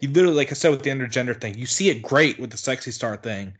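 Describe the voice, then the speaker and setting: A man's voice: mid-range voice, expressive, very fast, slightly rough. A man appearing as a guest on a casual podcast, talking bluntly and swearing freely.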